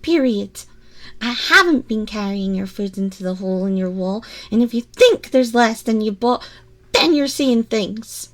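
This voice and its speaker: A woman's voice: a little high, lively intonation, measured pace. A woman playing a tiny, furry haggis girl in an ASMR roleplay, a timid, anxious scavenger who speaks hesitantly, stammering and apologising as she pleads with the listener. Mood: sheepish.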